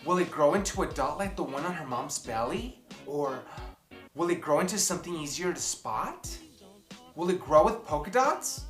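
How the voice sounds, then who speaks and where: A woman reading a children's picture book aloud in an expressive, theatrical way, with dramatic pauses and emphasis. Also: low voice. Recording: roomy echo, music behind.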